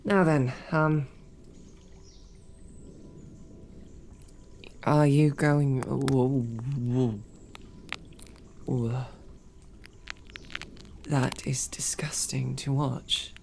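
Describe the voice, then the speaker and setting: Woman voicing a wry woman knight, low voice, slow unhurried delivery, formal and dry, audio roleplay. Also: level tone, gravelly, darkish tone, slight background noise, exaggerated voice. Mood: flustered. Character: sardonic young woman knight, captive but composed. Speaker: voice actor.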